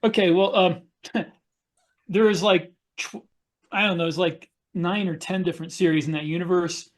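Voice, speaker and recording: Guy with a slightly high voice explaining stuff casually on a podcast. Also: steady tone, moderate pace. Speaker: podcast panelist, author.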